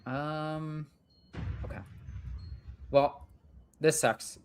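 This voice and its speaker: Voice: medium pitch, steady tone, drawn-out words, heavy background noise. A young adult gaming streamer chatting casually and rambling while playing a strategy game, swearing now and then.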